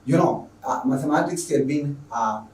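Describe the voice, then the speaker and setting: A man's voice: medium pitch, moderate pace, a little mellow. Echoey, distant Tanzanian male teacher. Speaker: online secondary school mathematics teacher. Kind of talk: spoken lesson introduction to viewers. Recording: echoey room, distant microphone.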